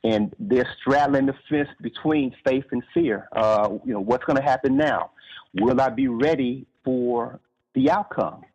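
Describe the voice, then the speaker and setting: A man answering interview questions as a guest author, explaining in measured, conversational phrases with pauses. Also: medium pitch, a little mellow, husky, lo-fi sound.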